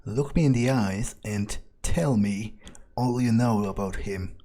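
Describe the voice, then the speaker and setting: A man with a husky voice doing in-character commentary on a game, musing aloud to himself. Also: fairly low pitch, unhurried, warm tone. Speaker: gaming video maker.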